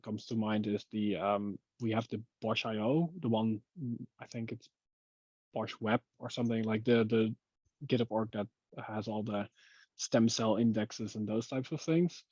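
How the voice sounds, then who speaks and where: A man with a lowish voice speaks casually in a technical online meeting. His phrasing is hesitant and halting. The sound is dry and a little dull, like compressed call audio. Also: steady tone, a little mellow, dry recording. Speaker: working group member giving a technical update.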